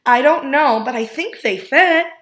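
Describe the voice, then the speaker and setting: A woman's voice: sits high, unhurried, fairly bright tone, voice pushed up. A woman voicing an earnest young schoolboy in a storybook, with simple, childlike phrasing. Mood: insistent, hopeful. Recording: roomy, echoing indoor sound.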